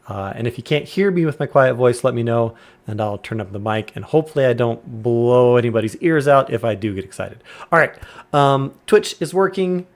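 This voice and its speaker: Soft-spoken man chatting while gaming. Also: mid-range voice. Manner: chatty, relaxed, greets viewers by name; deliberately quiet, subdued voice. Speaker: game streamer. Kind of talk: casual live-stream chat while gaming. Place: quiet home room.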